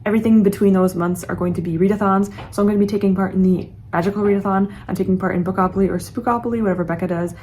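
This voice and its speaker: A woman's voice: medium pitch, fairly even intonation, rapid-fire, hardly any pauses, mellow, slight background noise. A woman in her late twenties, a book vlogger chatting casually and earnestly to her viewers. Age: late 20s. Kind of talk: casual chat to camera.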